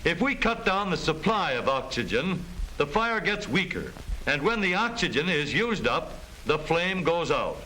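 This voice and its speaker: A man with an American accent narrates in the style of a 1930s educational film. His voice sits high and swings animatedly as he explains with formal emphasis. The soundtrack is vintage, with a faint layer of noise. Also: big pitch swings, unhurried, sharp tone, rough recording.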